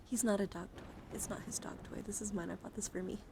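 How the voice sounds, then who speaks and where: A young woman streaming ASMR, chatting playfully and teasingly to viewers in a coddling way, drifting between soft talk and whispers close to the microphone. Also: mid-range voice, moderate pace, background noise. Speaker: live streamer. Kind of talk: ASMR livestream with casual chat to viewers.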